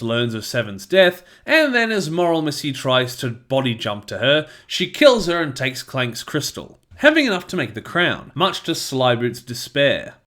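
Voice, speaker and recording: A man narrates a scripted review. His mid-pitched voice moves up and down in a lively way as he goes from recap to opinion.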